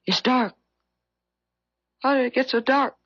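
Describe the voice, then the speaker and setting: A woman's voice: sits high, a little slow, dry recording. An actress in a 1970s radio drama playing a desperate, grasping, frightened woman, pleading and stammering in an overwrought way. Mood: rising panic. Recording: dull dry vintage radio recording.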